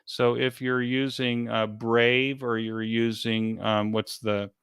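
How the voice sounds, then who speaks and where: A man being interviewed as an event organizer, giving an informal step-by-step explanation in a halting, repetitive way. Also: mid-range voice, flat delivery, fairly bright tone, clean tone, no echo, studio quality.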